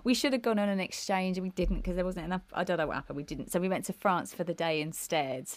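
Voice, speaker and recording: A woman's voice: on the low side, fast talker, no room sound. A woman, co-hosting a music podcast in casual, laughing chat with frequent restarts. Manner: chatty, laughing, many restarts. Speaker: podcast co-host.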